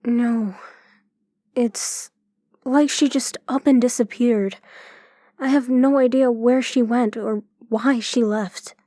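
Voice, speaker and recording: Guarded police chief, mellow, higher-pitched. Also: female voice, steady tone, slow pace, no echo. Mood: troubled, confiding. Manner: dry, firm, guarded. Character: guarded, tough, sardonic female police chief. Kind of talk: audio drama acting.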